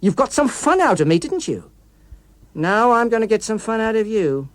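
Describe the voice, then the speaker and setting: A man's voice: high-pitched, big pitch swings, normal speed, no room sound, voice pushed up. A man voicing a menacing rogue policeman, a vengeful criminal who taunts and accuses, in a dramatic audiobook. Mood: taunting, bitter.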